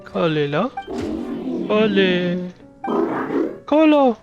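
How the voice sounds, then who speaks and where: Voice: mid-range voice, very animated, drawn-out words, dull audio, loud music behind the voice, exaggerated voice. A performer voicing a small, innocent mechanical crab that chirps in wordless, creature-like syllables, in a video game. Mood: innocent chirping.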